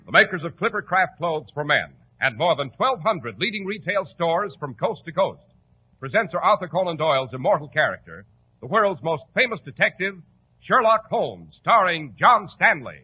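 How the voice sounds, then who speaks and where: A man with an American accent announcing and pitching for a sponsor in polished, emphatic 1940s radio style. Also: big pitch swings, moderate pace, noisy background. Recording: tinny, narrow old radio recording.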